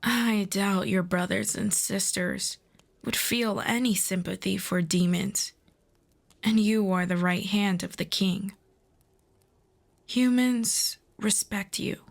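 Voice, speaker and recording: A woman playing a proud, earnest demon princess in a fantasy audio roleplay, speaking in a formal, deliberate, persuasive way. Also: mid-range voice, vocal fry.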